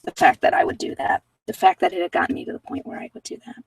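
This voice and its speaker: A woman's voice: fast, no echo, far from the mic. A woman talking in a casual, conversational way as she hosts an informal tarot card reading. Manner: conversational, informal, anecdotal asides.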